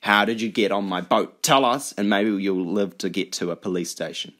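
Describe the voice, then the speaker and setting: A man's voice: lowish voice, moderate pace, a bit bright, close to an everyday voice. A man voicing a menacing older American ex-cop with a gun, in an American accent, for a story reading. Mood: threatening.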